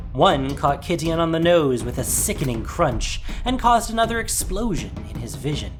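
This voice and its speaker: A narrator reading a scripted fantasy story in a dramatic audio drama style. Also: mid-range voice. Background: soft music.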